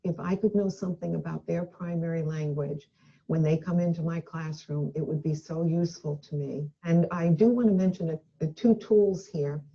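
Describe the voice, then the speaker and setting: A woman's voice: low voice, steady tone, moderate pace, vocal fry, slightly rough, muffled recording. A woman education expert giving an earnest, emphatic recorded talk, speaking indoors in a video clip.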